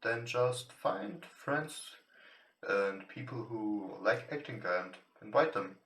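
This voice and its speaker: A man who is not a native English speaker, casually commentating on a game and reading its text aloud in hesitant, halting English. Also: lowish voice, lively intonation, vocal fry. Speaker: let's play host. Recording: roomy, distant mic with music behind. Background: soft guitar game music.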